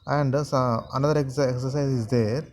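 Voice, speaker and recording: A man teaching a grammar lesson to students, repeating sentences and pausing often with fillers. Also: medium pitch, steady tone, a little mellow, slight background noise. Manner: slow, repetitive, halting with many fillers.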